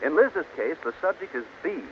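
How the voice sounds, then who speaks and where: A man's voice: a little high, big pitch swings, moderate pace. A man announcing in a scripted 1940s radio narration style, setting scenes as a narrator. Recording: tinny, hissy old radio broadcast.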